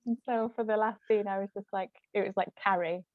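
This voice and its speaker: A woman's voice: mid-range voice, fairly even intonation, brisk, dry recording. A woman guest chatting casually and telling anecdotes about her own work. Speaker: guest, actress and author. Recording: thin phone-like audio, dry.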